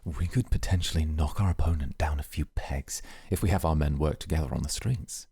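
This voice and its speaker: A man playing a composed, calculating, coolly amused mafia boss, speaking in a measured, formal way in an ASMR roleplay. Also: a bass voice, dark tone, breathy edge, dry recording, exaggerated voice. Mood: calculating, calm.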